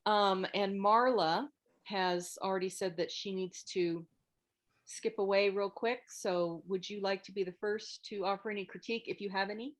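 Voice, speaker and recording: A woman's voice: mid-range voice, fairly even intonation, moderate pace. A woman casually moderating a feedback session as host on a video call.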